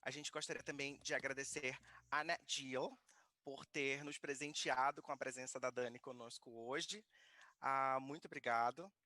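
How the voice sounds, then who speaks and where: A man's voice: medium pitch, steady tone, normal speed, pushed and bright, no echo, clean studio sound. A man hosting a live online workshop from a makerspace. He gives formal thanks and introductions and reads the guest's bio in Portuguese and English. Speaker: workshop host.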